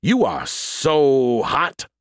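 A man voicing a prankster who poses as a lecherous Southern pastor, in a deepened, drawling, exaggerated Southern accent. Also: huge pitch swings, no room sound, clean studio sound, exaggerated voice. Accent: exaggerated American Southern. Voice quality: put-on deepened voice. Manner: slow, drawling, smarmy.

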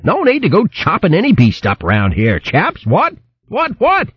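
A jolly, plummy old hare gentleman, as a male reader puts him on, high and bouncing, with a husky edge. The recording is dry and slightly muffled. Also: wildly swooping pitch, measured pace, no room sound, heavily acted. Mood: indignant. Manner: plummy, says "what, what".

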